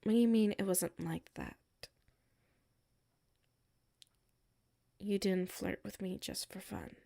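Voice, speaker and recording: A woman's voice: medium pitch, moderate pace, vocal fry, warm tone. A woman playing a hurt, defensive tsundere coworker with a secret crush in a roleplay audio, exasperated and emphatic, dropping into sulky murmurs. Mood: surprised, tentative. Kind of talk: roleplay audio acting, one side of a conversation.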